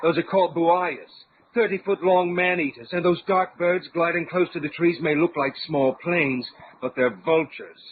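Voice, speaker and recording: An actor playing a proper, well-travelled gentleman adventurer in an old-time radio serial, with a theatrical, musing manner. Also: on the high side, normal speed, few pauses, lightly acted. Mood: observant. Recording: tinny band-limited radio drama, background noise.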